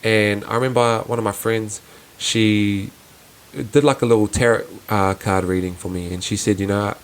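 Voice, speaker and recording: A young man with a soft, fairly low voice talks through his thoughts on his own podcast. He sounds earnest and reflective, often restarting sentences and pausing on fillers as he works out what he means. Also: steady tone. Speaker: podcast host. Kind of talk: casual reflective solo podcast.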